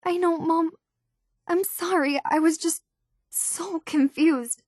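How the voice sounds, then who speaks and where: A woman's voice: extremely high pitch, fairly even intonation, slow, a little mellow, dry recording, studio quality. An actress playing an earnest, anxious and curious young runaway woman, speaking hesitant, thoughtful dialogue for a video game. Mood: pleading, apologetic.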